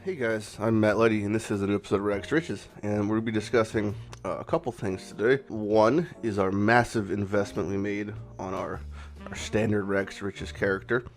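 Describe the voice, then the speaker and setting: A man with a raspy voice, hoarse from a cold, hosting a casual game commentary vlog in a rambling, off-the-cuff way with some swearing. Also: fairly low pitch, fairly even intonation, moderate pace, soft background music. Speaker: gaming channel host.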